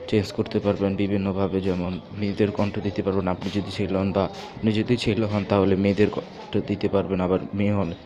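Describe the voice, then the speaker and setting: A man's voice: on the low side, lo-fi sound. A man with a Bangladeshi accent presenting an informal step-by-step app tutorial, repeating himself as he demonstrates.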